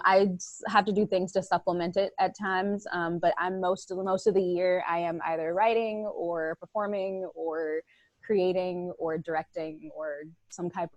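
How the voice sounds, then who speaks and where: A woman actor and playwright chatting as a guest on a video call, laughing often and filling pauses with ums. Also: lowish voice, level tone, no room sound, low-quality recording. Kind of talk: casual video-call conversation.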